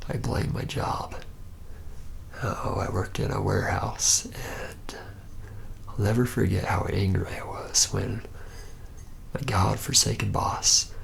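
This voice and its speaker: Man playing a slow, creaky, whispering young vampire. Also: on the low side, heavily acted. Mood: resigned, grumbling. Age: young adult. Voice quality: breathy whisper with gravelly creak. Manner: hesitant, halting, wry and deadpan. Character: reluctant, jaded young male vampire, former warehouse worker. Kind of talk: whispered ASMR roleplay monologue.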